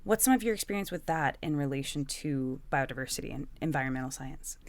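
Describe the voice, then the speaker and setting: A woman's voice: rumbling low, lively intonation, fast talker, dry recording. A woman hosting a podcast, speaking in a prepared, interviewer's style. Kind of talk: scripted podcast narration and interview questions.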